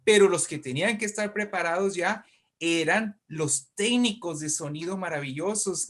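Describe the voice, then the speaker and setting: A man's voice: on the high side, a bit bright. A man with a Latin American Spanish accent explaining things conversationally, often repeating words as he searches for the next. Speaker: writer discussing radio drama history.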